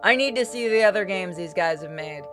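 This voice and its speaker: A woman's voice: on the low side, animated, a little fast. A woman giving casual, blunt commentary as she plays through a game. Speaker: game streamer. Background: game music.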